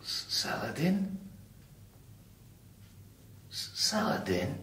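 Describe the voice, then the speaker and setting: A bluff, scheming English husband in an eighties radio play. His highish voice swoops wildly as he rambles and repeats himself in a jittery, matey way, with some noise on the recording. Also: sing-song, extremely slow, sharp tone, exaggerated voice. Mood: frightened, muttering. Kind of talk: 1980s radio drama acting.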